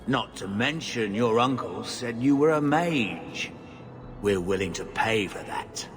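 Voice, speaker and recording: An actor playing a blunt, rough-spoken leader of a mercenary company in a video game. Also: male voice, medium pitch, expressive, takes it slowly. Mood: businesslike. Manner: rough, plain-spoken, slangy. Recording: loud music behind. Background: game music.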